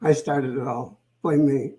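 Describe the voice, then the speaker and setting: A woman's voice: very deep voice, fairly even intonation, a little slow, dark tone. A woman co-host chatting casually. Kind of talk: casual chat.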